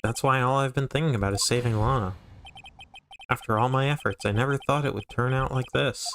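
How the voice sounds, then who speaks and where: A man's voice: mid-range voice, brisk, a bit bright, slight background noise, natural voice. A man voicing an earnest, determined defense attorney in a fan dub of a courtroom game. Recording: music under the voice.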